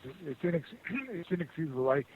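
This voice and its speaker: A man working as a customer service agent on the phone, speaking in a halting, rambling, conciliatory way. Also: a little high, very animated. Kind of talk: unscripted phone support conversation. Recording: muffled phone call with hiss.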